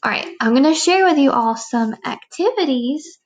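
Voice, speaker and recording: A woman's voice: high-pitched, animated, normal speed, highs cut off. A woman teacher speaking to young children in a read-aloud lesson, explaining things in simple phrases. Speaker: elementary school teacher.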